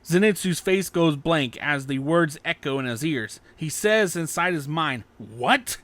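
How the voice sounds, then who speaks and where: A male narrator reads an action story aloud in a dramatic style. His voice sits a little high and has a bright, forceful tone. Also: animated, a little slow.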